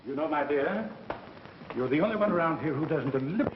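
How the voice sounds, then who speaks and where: A man's voice: on the high side, expressive, brisk, noisy background, close to an everyday voice. An actor playing an irascible, self-important businessman and show sponsor in 1930s melodrama style, bossy and grumbling. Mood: self-satisfied. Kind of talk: 1930s film melodrama acting. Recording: muffled vintage 1930s film soundtrack, music behind.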